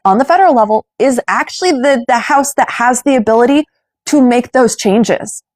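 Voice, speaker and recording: A woman in her forties hosts a casual political interview from home. Her voice sits somewhat high, and she drives her points home emphatically. The sound is dry and close. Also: normal speed, darkish tone, no room sound. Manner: emphatic, persuasive. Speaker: podcast host.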